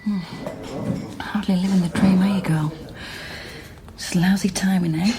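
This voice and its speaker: An actress playing a wry, weary but brisk woman researcher in a naturalistic radio drama, dryly muttering to herself. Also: mid-range voice, fairly even intonation, crowd noise, natural voice. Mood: wry, musing.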